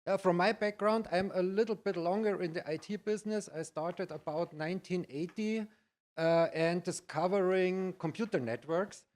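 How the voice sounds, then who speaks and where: A middle-aged or older man with a Bavarian German accent, giving a conference presentation in halting, non-native English. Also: high voice, a little mellow. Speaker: conference speaker, IT practitioner.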